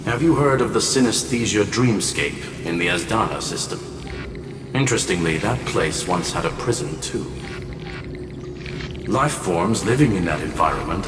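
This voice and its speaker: An actor playing a composed, erudite genius in a video game, explaining formally and politely but firmly. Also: male voice, low voice, animated, moderate pace, roomy sound, in a large hall, recorded from a distance, music in the background. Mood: calm, explanatory.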